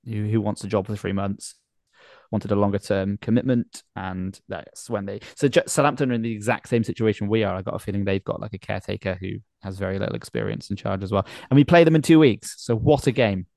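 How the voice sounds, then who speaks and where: A man's voice: on the low side, fast talker, vocal fry, darkish tone, no room sound. A man hosting a podcast, talking casually through sports discussion. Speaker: podcast host.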